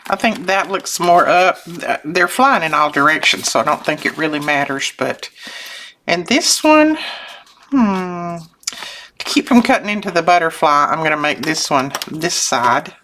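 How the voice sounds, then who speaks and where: An older woman with a notably low voice chats in a homey, rambling way as she crafts at her desk, often breaking off to chuckle. Her voice sounds a little thickened from sinus trouble. The recording has clear background noise and small clicks. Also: expressive, a little slow, a bit bright. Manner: chatty, homey, self-interrupting, chuckles often. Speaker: craft channel host. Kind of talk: casual crafting chat while working.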